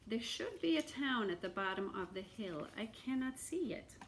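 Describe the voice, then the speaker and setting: A woman's voice: on the high side, animated, normal speed, a little hiss or hum, poor audio. A woman voicing a wily male beggar in a storybook read-aloud, imploring and theatrical, with exclamations and a persuasive manner. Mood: musing. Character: wily, pleading old-world male beggar, dramatic and persuasive.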